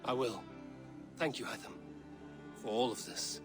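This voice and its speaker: A man's voice: mid-range voice, unhurried, over music, natural voice. A man playing a proud, blunt and wary Viking warrior in a video game, with a terse, wry delivery. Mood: sober, measured. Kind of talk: video game cutscene acting.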